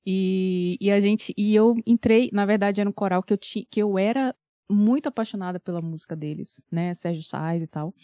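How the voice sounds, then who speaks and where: A woman in her thirties from Bahia, a singer and vocal coach, chatting as a podcast guest with frequent laughter and lively storytelling. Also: medium pitch, normal speed, clean tone, dry recording. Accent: Bahian Portuguese. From Bahia, Brazil. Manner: laughs often, animated storytelling. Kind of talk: casual interview chat.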